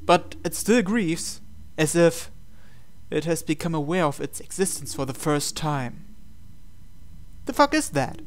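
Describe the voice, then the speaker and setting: A man's voice: fairly high pitch, animated, a little slow. A man reading a visual novel aloud as a gamer, breaking into casual, incredulous asides. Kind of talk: game playthrough narration with casual asides.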